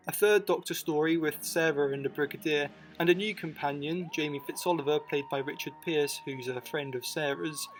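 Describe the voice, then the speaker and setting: A man with a slightly high voice reads scripted documentary narration. He keeps it informal and matter-of-fact, and soft music plays behind him. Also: poor audio. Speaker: video essay narrator. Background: music bed.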